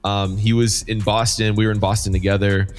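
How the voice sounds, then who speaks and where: A man's voice: lowish voice, level tone, fairly quick, soft background music. A man hosting a podcast in a casual, chatty style. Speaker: podcast host.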